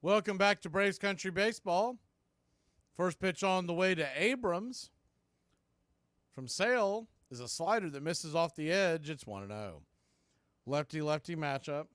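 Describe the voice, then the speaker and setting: Higher-pitched man calling baseball, close dry sound. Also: expressive, unhurried, pauses often, fairly bright tone, no room sound. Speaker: baseball play-by-play announcer. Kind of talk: live sports commentary.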